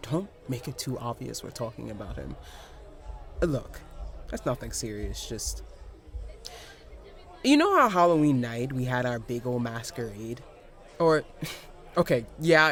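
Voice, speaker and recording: A man performing as a chatty male bar patron with a fairly high voice and swooping, animated pitch. He shares a story in a confiding, gossipy way, often doubling back on himself as in casual audio-drama conversation. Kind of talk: conversational scripted anecdote.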